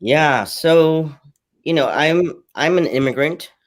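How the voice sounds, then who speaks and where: A man's voice: on the high side, animated, slow pace. A man who grew up speaking Cantonese, from Hong Kong, speaking earnestly as a panelist and often repeating words as he gathers his thoughts. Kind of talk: candid personal reflection in a panel chat.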